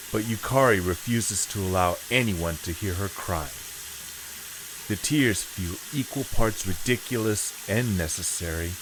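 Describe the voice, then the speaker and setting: A man reading dramatic scripted narration in a solemn, measured manner. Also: lowish voice, noisy background.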